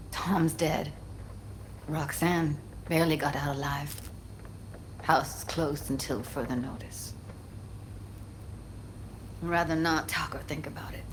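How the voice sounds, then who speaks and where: A woman's voice: low voice, slight background noise, poor audio. A woman playing a guarded but tender young techie in a video game, talking in an intimate, hesitant, slangy way. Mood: subdued, pained. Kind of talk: naturalistic video game acting.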